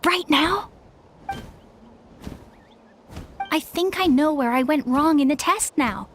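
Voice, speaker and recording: A woman playing an earnest, excitable young trainee flyer with some self-doubt, in a video game. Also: high voice, normal speed, faint music behind, lightly acted. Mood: eager.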